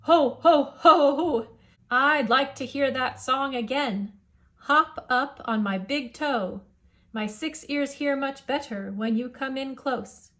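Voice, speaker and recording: Woman playing a huge male storybook giant, high-pitched, slow, jovial, coaxing, rhyming. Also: pushed and bright, heavily acted.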